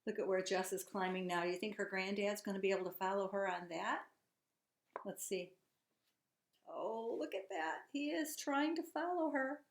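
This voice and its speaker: A woman's voice: mid-range voice, moderate pace. A woman teacher reading a children's storybook aloud, encouraging and putting questions to her young listeners. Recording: echoey room.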